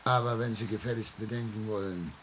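An actor playing a distraught, outcast older gentleman in a German radio play, theatrical and bitter, swinging between hushed tones and outbursts. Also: lowish voice, fairly even intonation, a little fast, lightly acted. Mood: hushed, weary. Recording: faint noise on old radio recording.

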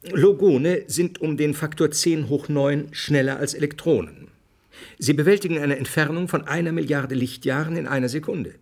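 An actor playing an earnest, didactic scientist being interviewed in a radio drama. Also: male voice, a little high, moderate pace, darkish tone. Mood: lecturing. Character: earnest male scientist, explaining theory, brusquely dismissive of speculation.

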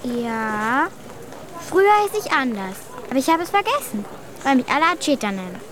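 A woman's voice: high-pitched, very animated, normal speed, noisy background. A woman playing a plucky, stubborn young girl with a childlike, plain-spoken manner in a German children's radio play. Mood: self-assured.

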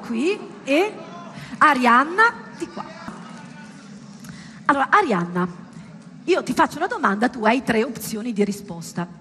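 A woman delivering a theatrical monologue as a stage performer, in a dramatic, deliberate and emphatic manner. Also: high voice, a bit bright.

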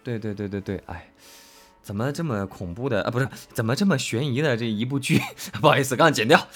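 A man's voice: mid-range voice, quick pace, fairly bright tone, soft background music. A man co-hosting a casual chat about an audio drama as one of its voice actors, effusive and rambling, repeating himself for emphasis. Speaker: voice actor co-hosting a fan talk.

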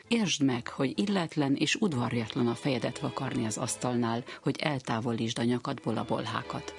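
A man's voice: mid-range voice, creaky. A man narrating a radio documentary from a script.

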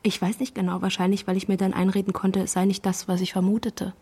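A woman's voice: mid-range voice, flat delivery, fast, mellow, husky. An actress playing a hurt, bitter wife who confronts her partner in a naturalistic radio play, controlled but accusing.

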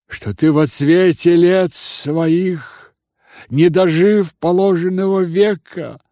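A man's voice: mid-range voice, warm tone, no echo, studio quality. A man voicing a proud, vengeful old Cossack chief in an audiobook, solemn, emphatic and full of grief. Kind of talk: audiobook character voice.

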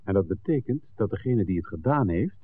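A man's voice: fairly low pitch, animated, brisk, natural voice. A man playing an earnest, worried character in a 1960s radio play. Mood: grave. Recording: tinny, noisy 1960s radio recording.

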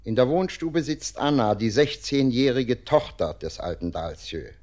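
Slow, swooping dramatic radio narrator. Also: male voice, mid-range voice, very animated, a little mellow. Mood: evocative, dramatic. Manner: deliberate, theatrical, swooping delivery. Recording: 1949 radio recording.

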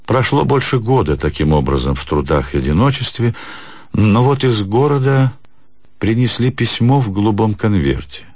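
A man's voice: lowish voice, lively intonation, moderate pace, a little mellow, no room sound. A man narrating a classic literary story as an actor in a radio production.

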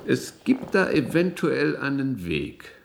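A man playing a controlling, shrewd institute director in a science fiction radio drama, speaking formally and deliberately. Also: medium pitch, big pitch swings, gravelly, full character voice. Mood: skeptical.

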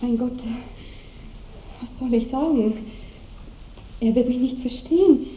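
An actress playing an innocent, trusting young bride in a 1960s radio drama, with breathless, pleading, lyrical delivery. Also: female voice, a little high, takes it slowly. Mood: afraid. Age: young adult. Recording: dull old radio recording, faint hiss.

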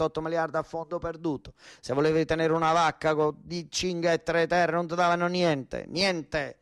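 Actor as a defiant old southern Italian farmer, closely recorded. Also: male voice, fairly high pitch, dry recording, clean studio sound, voice pushed up, close to an everyday voice. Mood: indignant. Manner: oratorical, repetitive, rustic dialect phrasing. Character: elderly illiterate southern farm labourer, angry, defiant, stubborn father. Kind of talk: theatrical monologue.

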